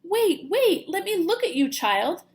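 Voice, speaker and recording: A woman's voice: very high-pitched, big pitch swings, a little slow, pitched above the performer's own voice, exaggerated voice. A woman playing a tender mother bat in a children's storybook, speaking with emotional emphasis. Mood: urgent, astonished.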